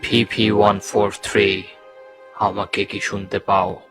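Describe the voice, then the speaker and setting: A man's voice: lowish voice, flat delivery, unhurried. A man voicing a solemn, brooding robot who leads a rebellion in a cartoon. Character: solemn toilet robot, rebel leader rallying an army. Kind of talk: cartoon parody dialogue. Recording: background music under the voice.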